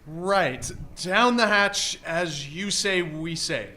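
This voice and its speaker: A man's voice: sits high, slow pace. A man playing a polite, wary husband and hotel guest in a live radio drama. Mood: wary, casual. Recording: live stage recording with background noise.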